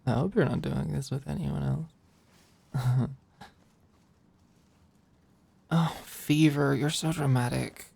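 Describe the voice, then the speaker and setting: A performer of unclear sex playing a flirty, overworked office manager in an intimate ASMR roleplay, with a halting, teasing murmur. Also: medium pitch, fairly even intonation, gravelly, warm tone, breathy edge, no echo, full character voice. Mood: playful, fond.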